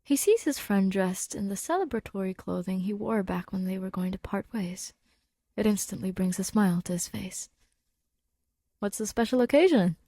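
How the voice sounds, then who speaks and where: A woman's voice: medium pitch, moderate pace, mellow, no room sound. A woman narrating a scripted story as a podfic reader, in a conversational, wry way.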